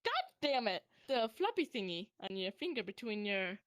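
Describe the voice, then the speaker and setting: A young woman casually telling a personal story to a friend in a rambling, animated way, swearing freely. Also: medium pitch, moderate pace, no echo, clean studio sound.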